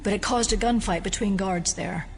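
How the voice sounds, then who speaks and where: An actress playing a level-headed, sceptical FBI investigator in an audio drama, with a measured, serious delivery. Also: female voice, mid-range voice, close to an everyday voice. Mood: urgent, grave.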